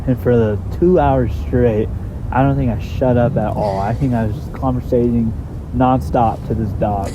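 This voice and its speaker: A man telling a travel story in a casual interview, animated and acting out the voices of people he met. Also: moderate pace, noisy background. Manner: animated, acts out quoted voices with pitch jumps. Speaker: traveler recounting a trip.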